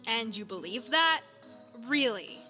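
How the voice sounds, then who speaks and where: Exasperated female guard for a game, suspicious and curt, slow delivery, hard-edged bright tone. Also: fairly high pitch, lively intonation, faint music behind. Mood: impatient, wary. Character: curt, suspicious, impatient woman on guard duty. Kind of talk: video game voice acting.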